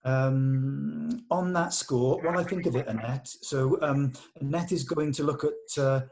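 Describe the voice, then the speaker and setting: A man's voice: mid-range voice, unhurried, gravelly, darkish tone. A man talking casually and encouragingly, like a director leading a production meeting. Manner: enthusiastic, encouraging. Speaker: director leading a script read-through.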